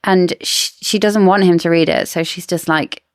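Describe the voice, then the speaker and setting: A woman's voice: lowish voice, fairly even intonation, moderate pace, no room sound, studio quality. A woman co-hosting a podcast, casually discussing books in an explanatory, speculative way. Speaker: podcast co-host.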